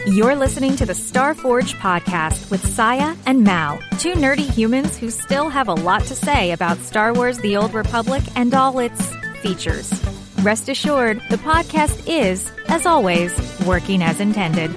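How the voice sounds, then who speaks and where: A woman's voice: on the high side, expressive, a little slow, over music. A woman co-hosting a podcast with casual, comedic banter in a playful, jokey manner. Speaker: podcast co-host.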